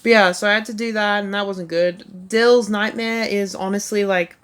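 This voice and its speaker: A woman's voice: mid-range voice, steady tone, normal speed, smooth. A woman talking casually about her own past videos, rambling and self-deprecating, with lots of "like" and "um" fillers. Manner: rambling, heavy use of "like" and "um".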